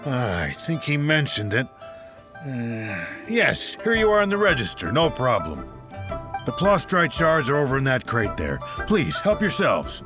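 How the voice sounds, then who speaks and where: An actor voicing a polite, helpful warehouse manager in a video game, unhurried in manner. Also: male voice, medium pitch, wildly swooping pitch, over music.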